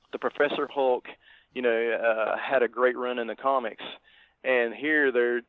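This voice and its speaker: Halting man on a phone-like line. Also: mid-range voice, no echo. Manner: halting, reflective. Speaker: podcast co-host. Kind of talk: casual movie review chat. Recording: thin phone-like line, dry.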